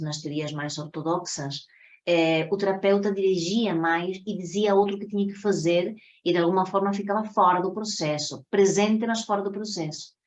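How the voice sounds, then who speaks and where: A woman with a Spanish accent gives an introductory lecture as a teacher. Her voice sits a little low for a woman. She explains didactically and often checks that her listeners follow. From Spain. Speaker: psychotherapy teacher. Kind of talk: introductory online workshop lecture.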